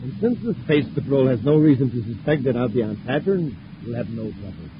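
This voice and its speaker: A man's voice: medium pitch, expressive, brisk, natural voice. A man playing a haughty, scheming evil prince in a vintage space radio serial. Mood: smug. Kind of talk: 1950s radio acting. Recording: muffled, hissy old radio recording.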